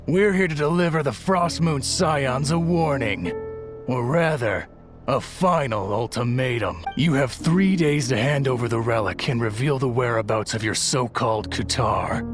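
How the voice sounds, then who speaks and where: An actor playing a haughty, threatening military officer in a game, with a sneering, imperious manner. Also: male voice, medium pitch, a little slow, music in the background, lightly acted. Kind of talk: game voice acting.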